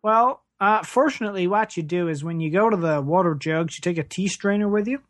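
Someone putting on a whiny working class space plumber, deadpan n rambly, comedy interview, dry sound. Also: medium pitch, brisk, no room sound, natural voice. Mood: matter-of-fact. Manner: plaintive, rambling, hesitant deadpan. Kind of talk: improvised mock interview.

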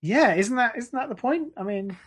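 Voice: mid-range voice, animated, moderate pace, fairly bright tone, slightly rough. A podcast co-host chatting casually in a dry, teasing, mock-earnest way. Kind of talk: casual chat.

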